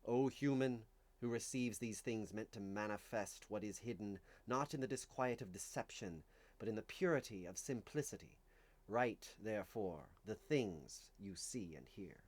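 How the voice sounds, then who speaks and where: A man reading religious writing aloud in a solemn, declamatory way, with deliberate phrasing and dramatic emphasis. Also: lowish voice, animated. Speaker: reader of a religious text. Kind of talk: dramatic reading of medieval visionary scripture.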